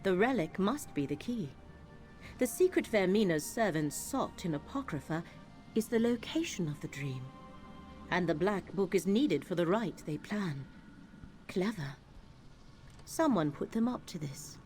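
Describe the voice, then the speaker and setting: A woman voicing a solemn, cryptic emissary in a fantasy video game, speaking in a formal, measured and deliberate way. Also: fairly low pitch, lively intonation, darkish tone, soft background music. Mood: intrigued, grave. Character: solemn, cryptic female emissary of a lore-keeping power.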